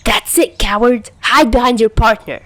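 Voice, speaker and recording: A woman's voice: on the high side, steady tone, normal speed, dry recording, pitched above the performer's own voice, natural voice. A woman voicing a stubborn, hot-tempered teenage schoolboy in an audio story, blunt and easily embarrassed. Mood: furious shouting. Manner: blunt, swearing, blustering.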